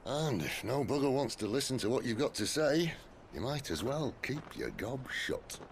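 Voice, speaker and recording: An older actor with a Northern English accent playing a gruff, blunt, fatherly mentor in a cinematic game. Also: medium pitch, expressive, moderate pace, slight background noise, lightly acted. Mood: brisk, blunt. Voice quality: gravelly. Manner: blunt, colloquial. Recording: music behind the voice. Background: music.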